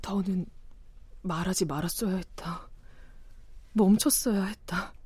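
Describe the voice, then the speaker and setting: An actress playing a sharp-tongued young adult daughter who nags her mother and narrates her regret. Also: medium pitch, unhurried, breathy edge, dry recording. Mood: hushed narration. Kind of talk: TV drama acting with inner narration.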